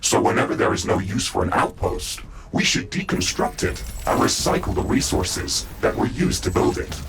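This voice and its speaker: A man playing a calm, dutiful mecha suit AI in a video game, speaking formally and with measure through a robotic filter. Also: deep voice, moderate pace, vocal fry, background noise. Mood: matter-of-fact. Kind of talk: scripted video game dialogue.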